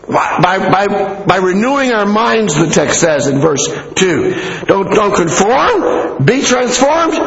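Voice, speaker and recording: A middle-aged American man preaching a sermon in an animated, conversational way. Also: sits high, creaky, a little hiss or hum. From United States. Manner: animated, conversational, acting out asides to listeners. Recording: echoey hall with long reverb, muffled.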